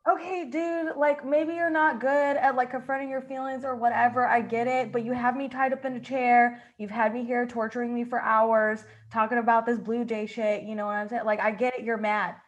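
A woman's voice: fairly high pitch, flat delivery, normal speed, hardly any pauses, close to an everyday voice. A woman playing an exasperated, unafraid captive, blunt, sarcastic and slangy, in an improvised comedy radio play. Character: exasperated, unafraid captive snitch tied to a chair.

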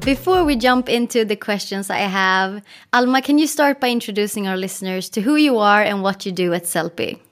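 A woman hosting a podcast reads her introduction smoothly and upbeat, then moves into a friendly conversation with her guest. Her pitch sits in the middle of the usual range. Faint music plays softly beneath her voice. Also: animated, brisk, hardly any pauses. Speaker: podcast host. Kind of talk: scripted intro and casual interview.

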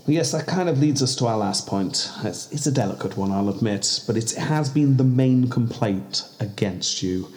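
A man's voice: medium pitch, animated, moderate pace, few pauses, darkish tone, natural voice. A man playing a weary male official who scolds a diplomat, talking in a hesitant, stammering, exasperated way. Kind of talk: comedy sketch acting.

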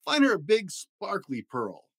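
A man plays a hard-shelled storybook lobster, blunt, sarcastic and helpful. The delivery rises and falls in a lively way, recorded dry. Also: high voice, unhurried, gravelly, no room sound, voice pushed up, exaggerated voice. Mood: advising.

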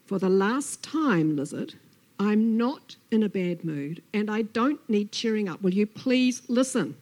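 A woman's voice: medium pitch, lively intonation, unhurried, a little mellow, lightly acted. A woman voicing an irritated female snake in a storybook, insisting she is fine in an emphatic, exasperated way. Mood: exasperated, angry.

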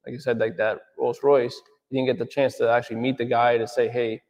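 A man's voice: medium pitch, little pitch movement, a little fast, a little mellow, in a large hall. A man in his thirties, an auto detailer talking casually in an interview, full of fillers and asking "right?" a lot. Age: 30s. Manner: rambling, filler-heavy, says "right?" often. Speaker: auto detailer, business owner.

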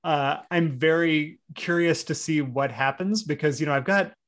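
A man's voice: fairly high pitch, moderate pace. A man in his forties, a writer guest chatting in a laid-back, rambling way, laughing often and using surfer slang. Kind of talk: casual podcast conversation.

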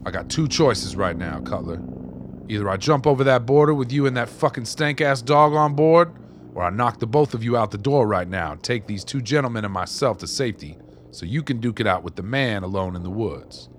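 A man's voice: mid-range voice, expressive, a little fast, lightly acted. A man acting a shrewd, commanding, foul-mouthed getaway driver in a radio drama. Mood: menacing. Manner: commanding, profane, streetwise. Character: Shadow Man, shrewd, hard-bitten male driver and smuggler. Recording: noisy outdoor vehicle ambience.

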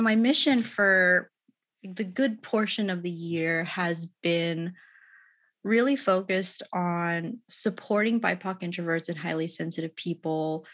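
A woman coach talking in a reflective, unhurried way with many pauses and soft laughs, as a podcast guest. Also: fairly low pitch. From Philippines. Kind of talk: casual podcast conversation.

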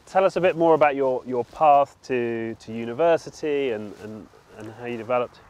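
A man interviewing a guest outdoors, mostly brief acknowledgements and halting questions. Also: mid-range voice, a little mellow, lo-fi sound.

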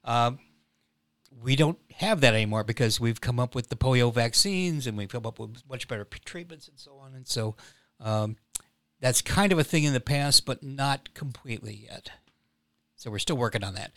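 Man, reflective, hesitant, bright, dry sound. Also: medium pitch, normal speed, dry recording. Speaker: playwright guest. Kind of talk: casual podcast chat.

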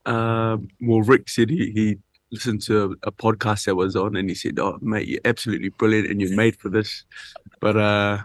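A man's voice: on the low side, little pitch movement, moderate pace, no echo. A man with a New Zealand accent, a rugby player, answering interview questions in a hesitant, laid-back way full of ums. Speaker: rugby player and show host. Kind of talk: casual interview chat.

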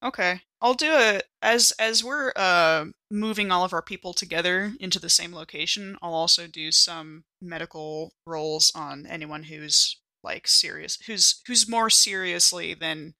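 Woman playing a tabletop rpg, bright crackly voice, casual, sorta halting, repeats herself. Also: lowish voice, no room sound. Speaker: player in a tabletop RPG podcast. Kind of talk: casual improvised game chat.

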